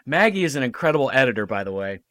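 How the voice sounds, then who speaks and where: A man's voice: medium pitch, very animated, rapid-fire, a bit bright, dry recording. A middle-aged man from Ohio chatting casually as a guest, agreeable and emphatic, often repeating himself. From Ohio, United States. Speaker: podcast guest, radio play producer. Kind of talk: casual conversation.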